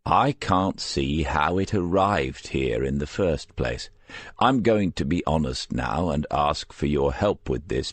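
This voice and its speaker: A man's voice: extremely low pitch, slightly rough, no room sound, exaggerated voice. A man playing a shabby, canny police detective sergeant in an audiobook, questioning in a frank, coaxing way.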